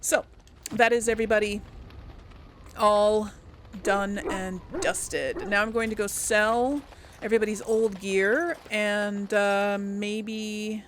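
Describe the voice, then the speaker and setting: Unhurried woman giving casual game commentary. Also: medium pitch, little pitch movement, a little hiss or hum. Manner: unhurried, thinking aloud. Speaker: gaming video host.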